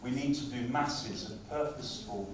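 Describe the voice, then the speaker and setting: A man addresses a conference audience in a relaxed, lively lecturing manner. His voice sits at an ordinary pitch and is picked up from some distance away. It rings through a very reverberant hall with a faint hiss of room noise. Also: normal speed. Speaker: conference speaker on language teaching. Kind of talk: conference talk. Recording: very echoey hall, far from mic.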